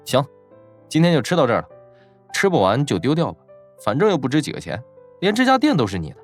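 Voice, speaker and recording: Man acting animated, teasing young student boss. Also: mid-range voice, quick pace, soft background music. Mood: teasing, dry. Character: young male university student boss, teasing, worldly, exasperated. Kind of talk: audio drama dialogue.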